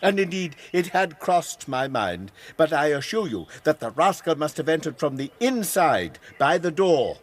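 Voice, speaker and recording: An actor playing a polite, anxious Victorian college don with an English accent and a formal, courteous manner in a radio drama. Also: male voice, fairly high pitch, very animated, moderate pace, few pauses. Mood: courteous, defensive. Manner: formal, courteous, slightly flustered. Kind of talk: BBC radio drama acting.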